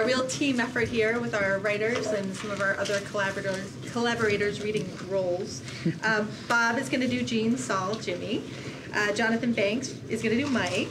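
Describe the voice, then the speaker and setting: A woman giving an informal spoken introduction to a group at a table read. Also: fairly high pitch. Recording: distant mic, loud room noise.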